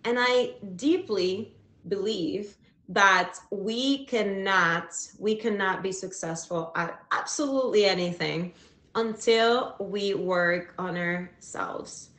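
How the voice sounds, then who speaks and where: A woman's voice: medium pitch, slow pace, fairly bright tone. Upbeat woman hosting a livestream. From Cuba. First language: Spanish. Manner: upbeat, chatty, many fillers and restarts. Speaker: livestream talk show host. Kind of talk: casual livestream talk. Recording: echoey room.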